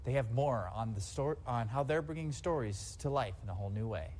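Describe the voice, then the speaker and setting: A man's voice: medium pitch, lively intonation, fairly quick, noisy background. A man with an American accent delivering a scripted local news report as a reporter.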